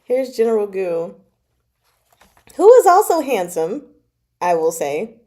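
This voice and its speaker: A woman chatting casually as a book club host, recapping a novel with gushing, slangy asides and drawn-out emphasis. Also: mid-range voice, very animated, dark tone.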